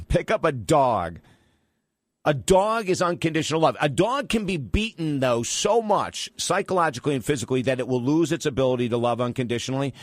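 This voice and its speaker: A man's voice: mid-range voice, lively intonation, brisk, no room sound. Man, radio talk show host, upbeat, enthusiastic, emphatic, studio mic, dry close sound. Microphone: studio microphone.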